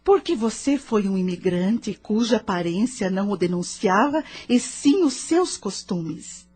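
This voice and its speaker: An actress with a Brazilian accent playing a patient, wise spiritual counselor who speaks in a measured, didactic, questioning way in an audio novel. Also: female voice, mid-range voice, animated, a little mellow, breathy edge. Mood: probing. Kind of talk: dramatized audio novel dialogue.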